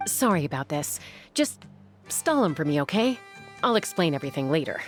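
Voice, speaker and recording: A woman's voice: low voice, big pitch swings, a little fast. An actress playing a cool, confident young woman warrior and peacemaker, wry and composed, in a video game. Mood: breezy, hurried. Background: background music.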